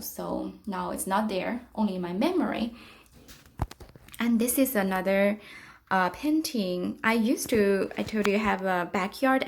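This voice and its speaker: A middle-aged woman with a Chinese accent talks through her studio in a gentle, thoughtful way. Her voice sits at an ordinary mid pitch, and she stops often to search for words, filling the gaps with quiet fillers. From China. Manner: soft-spoken, reflective, with frequent pauses and fillers. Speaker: artist giving a studio tour. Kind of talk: casual guided tour narration.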